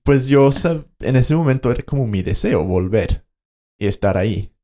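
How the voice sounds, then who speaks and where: A man's voice: mid-range voice, animated, normal speed, dark tone. A man co-hosting a podcast, telling a story in casual conversation, hesitating and searching for words as he goes. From Colombia. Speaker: podcast co-host.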